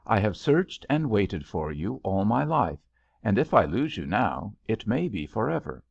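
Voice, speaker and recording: A man voicing an earnest, impetuous young gentleman in an audiobook, speaking in an urgent, pleading, courtly way. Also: fairly low pitch, very animated, measured pace, creaky, husky, dry recording, heavily acted. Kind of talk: audiobook character dialogue. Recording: slightly dull, lo-fi, dry audio.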